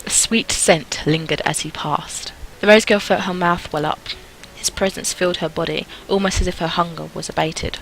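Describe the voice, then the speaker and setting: A woman's voice: mid-range voice, gravelly, a bit bright, slight background noise, rough recording. A woman hosting a gaming video, reading a visual novel aloud with casual, playful, self-mocking commentary.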